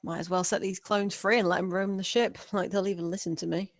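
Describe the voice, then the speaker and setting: A woman's voice: medium pitch, fairly even intonation, quick pace, no room sound, studio quality, natural voice. A woman playing a weak, grumpy male spaceship crewman, sardonic and exasperated, in a comedy table read. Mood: dejected.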